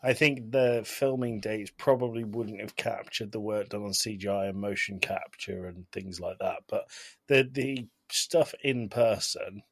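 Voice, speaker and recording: A man's voice: on the low side, steady tone, unhurried, creaky, fairly bright tone, no echo. A man co-hosting a podcast, giving his take in casual conversation. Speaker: podcast co-host. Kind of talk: casual banter.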